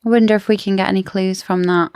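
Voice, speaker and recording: A woman's voice: medium pitch, fairly even intonation, fast, a little mellow, clean tone, no room sound. A woman co-hosting a book discussion podcast, talking casually in an inquisitive, opinionated way. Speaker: podcast co-host.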